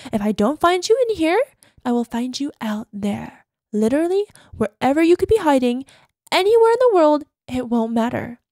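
A very high, dry, close female voice, put on by an actress as an obsessive yandere girlfriend. She is sweet but unstable, speaking dramatically in an intimate ASMR roleplay. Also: darkish tone, no room sound. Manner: dramatic, drops into breathy whispers, cloying pet names.

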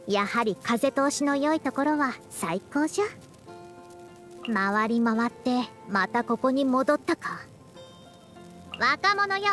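High-pitched, haughty girlish ancient scholar. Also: a bit bright. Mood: relaxed. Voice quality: girlish voice with elderly turns of phrase. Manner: haughty, lecturing, old-fashioned elder speech. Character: youthful-looking century-old female scholar, proud, bossy senior. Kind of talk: Japanese anime-style game voice acting.

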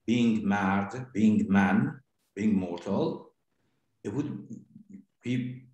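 A man with a Persian accent, a native Farsi speaker, giving a philosophy seminar lecture in a deliberate, halting way with frequent pauses. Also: on the low side, darkish tone. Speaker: seminar instructor. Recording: very echoey room.

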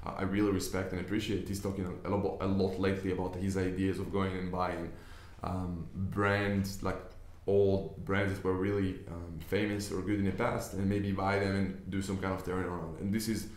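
A man's voice: low-pitched, level tone, moderate pace, hardly any pauses, a little mellow. A young man from Israel with an Israeli accent, whose first language is Hebrew. He talks earnestly to a camera from a desk indoors, explaining his ideas with many restarts. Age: young adult. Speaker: business investor. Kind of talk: casual talk to camera. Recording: echoey room.

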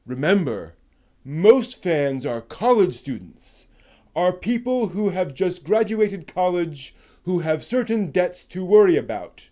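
Man putting on an aggrieved, slow-talking puppet fan. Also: sits high, very animated, warm tone, full character voice. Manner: slow, emphatic, aggrieved declaiming. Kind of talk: comic dramatic reading.

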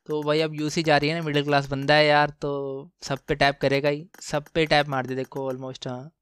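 A man giving casual, excited gameplay commentary, slangy and exclamatory, repeating phrases for emphasis. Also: on the high side, moderate pace, smooth, no room sound. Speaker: gaming channel host.

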